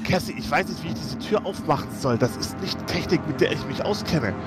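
A man's voice: on the high side, lively intonation, brisk. A man playing a tabletop roleplay game on a video call, talking casually and hesitantly, often repeating words and muttering oaths. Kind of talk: casual in-character roleplay chat. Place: home room on video call. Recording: music under the voice.

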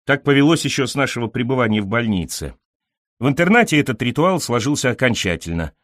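A man reading literary fiction as an audiobook narrator, in an expressive, carefully scripted storytelling delivery. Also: mid-range voice, quick pace, dry recording, clean studio sound.

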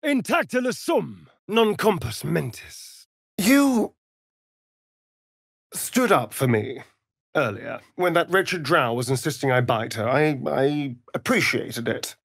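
A vain male vampire from a fantasy video game. His voice is breathy and husky, swooping dramatically between arch flourishes and murmured asides. He drawls his words at an unhurried pace, flirtatious and sardonic throughout. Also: fairly high pitch, expressive, a bit bright, dry recording, clean studio sound, exaggerated voice. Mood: intense.

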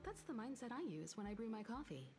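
A woman's voice: a little high, very animated, fast. A woman voicing a composed, elegant adult mentor in an anime-style video game. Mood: calm, explaining. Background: game music.

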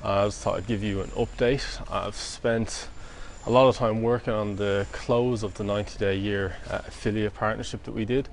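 A man's voice: on the low side, steady tone, normal speed, gravelly, hardly any pauses, a little hiss or hum. A young Irish man giving a casual vlog update from a city street, filmed on a phone held at arm's length. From Ireland. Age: young adult. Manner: chatty, upbeat, loose fillers. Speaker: vlog co-host.

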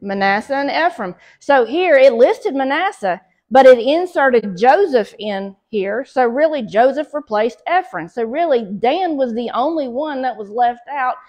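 A middle-aged Southern woman leads a church Bible study at an unhurried pace. Her voice sits a little high, and she reads scripture aloud before explaining it with pauses and fillers. She is picked up by a camera across a small fellowship hall. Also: expressive, darkish tone. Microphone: far camera.

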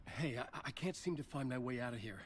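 A man's voice: mid-range voice, fast, slight background noise, close to an everyday voice. Man acting a weary, earnest lost man. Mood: puzzled, weary. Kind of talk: video game character acting and narration.

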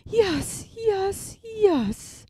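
A woman's voice: very high voice, big pitch swings, extremely slow, warm tone, no echo, pitched above the performer's own voice, full character voice. A woman voicing a fussy, sighing mother sow who instructs and admonishes in a storybook read-aloud. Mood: exasperated.

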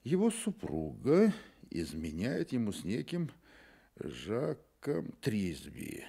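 A man's voice: fairly low pitch, huge pitch swings, slow pace, creaky, dark tone, slightly rough, exaggerated voice. An actor playing a private detective in a radio drama, wry and offhand as he probes and muses. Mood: wry musing.

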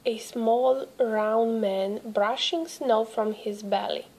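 A woman reading a children's storybook aloud expressively, with deliberate dramatic pauses. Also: a little high, steady tone, darkish tone, low-quality recording. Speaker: storybook reader.

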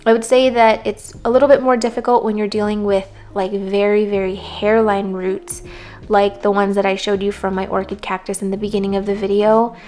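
A hoarse woman casually talking through plant care tips, relaxed and rambling. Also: medium pitch. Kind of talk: casual voice-over talk.

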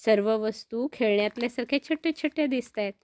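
A woman voicing an excited little boy, eager and full of childlike wonder, in a children's story. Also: fairly high pitch, fast talker, natural voice. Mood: delighted wonder.

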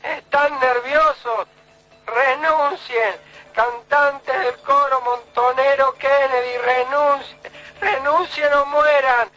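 Guy doing an old argentine granny caller, paranoid and accusing, super high flat voice, slow. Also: soft background music, full character voice, poor audio. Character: cranky, paranoid old woman phoning radio to denounce. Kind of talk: parody radio call-in.

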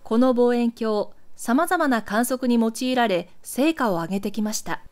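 A woman reading scripted documentary narration for a news archive in a measured, clearly enunciated, explanatory way. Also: a little high.

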